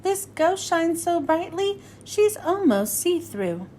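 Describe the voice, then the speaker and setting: A woman reading a rhyming storybook to children in an expressive, sing-song way. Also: very high voice, slow pace, slight background noise. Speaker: children's read-aloud host. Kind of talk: scripted storybook narration for children.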